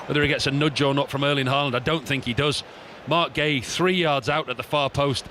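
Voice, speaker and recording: A man's voice: mid-range voice, steady tone, brisk, pushed and bright. A man giving expert co-commentary on a football match, analysing the play and criticising poor finishing. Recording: noisy background.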